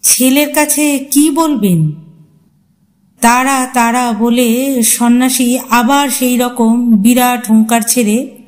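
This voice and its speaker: A woman narrates a horror story in a dramatic, scripted style. Her voice sits fairly high and she takes her time with each phrase. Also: slow pace, a little mellow.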